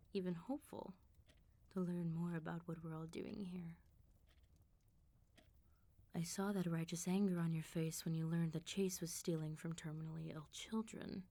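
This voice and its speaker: Woman playing a low, velvety, menacing vampire mob boss. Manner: controlled, smooth-talking, teasing, quietly threatening. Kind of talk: ASMR roleplay, soft close speech.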